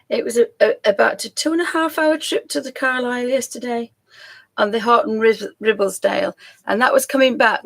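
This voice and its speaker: A croaky woman hosts a home live stream, talking in a loose, rambling way that often breaks into laughs. Her voice has a gravelly, rough edge and sits at an ordinary middle pitch. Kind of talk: casual live-stream chat.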